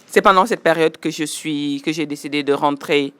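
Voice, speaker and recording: A woman, giving a measured personal testimony as an interviewee and listing details step by step. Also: low-pitched, a bit bright, clean tone, no echo. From Senegal. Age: 40s.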